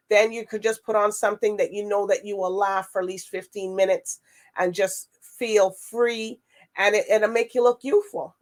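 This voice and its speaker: A woman hosting a talk show in a casual, chatty and wordy way. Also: medium pitch. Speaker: show host.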